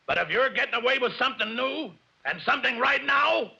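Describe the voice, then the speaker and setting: An actor playing a gruff, impatient male police lieutenant in a 1940s radio drama, curt and menacing. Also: very high-pitched, expressive, brisk, pushed and bright, heavily acted. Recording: lo-fi 1940s radio broadcast with hiss.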